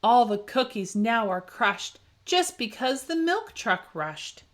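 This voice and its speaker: A woman reads a picture book to children in a high, lively voice. She takes her time, keeping the rhyme rhythmic and leaning into key words with dramatic emphasis. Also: slow pace. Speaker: children's story reader. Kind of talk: expressive picture-book read-aloud.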